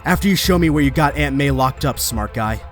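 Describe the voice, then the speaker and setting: A man's voice: medium pitch, lively intonation, brisk, natural voice. Male actor playing wisecracking young superhero: mid-range voice, earnest and urgent, comic-dub drama over faint music. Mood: wry, focused.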